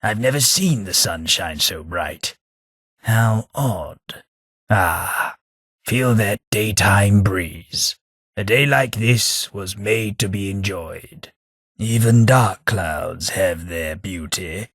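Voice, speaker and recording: A refined, wry undead London gentleman from a game, older, formal manners and dry humor. His voice is husky and fairly low, and he talks slowly. The studio recording is dry and close. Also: no room sound, full character voice. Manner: dry wit, formal polite phrasing. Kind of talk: game companion voice lines.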